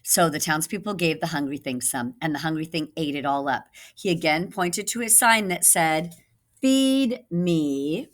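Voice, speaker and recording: A woman teacher reading a children's story aloud expressively, pausing to put questions to the listener. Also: deep voice, fairly bright tone.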